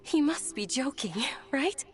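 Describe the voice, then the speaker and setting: High-voiced timid young lady. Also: expressive, a little slow, over music. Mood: nervous. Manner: hesitant, stammering. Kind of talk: video game voice acting.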